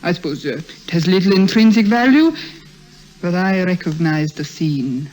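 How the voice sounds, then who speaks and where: A woman's voice: lowish voice, moderate pace, darkish tone, faint music behind, natural voice, rough recording. A woman playing a guarded, world-weary wealthy hostess, reserved and polite, in a 1950s radio drama. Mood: wistful. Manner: reserved, cool, polite, wistful. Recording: old radio recording, faint hiss.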